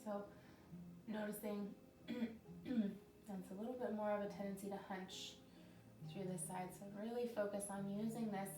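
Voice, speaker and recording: Calm woman, slightly scratchy, far off. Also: mid-range voice, measured pace, long pauses. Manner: calm, gentle cueing with frequent throat clearing. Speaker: yoga teacher. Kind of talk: guided yoga instruction. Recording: roomy echo from a distant mic with background music. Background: soft music.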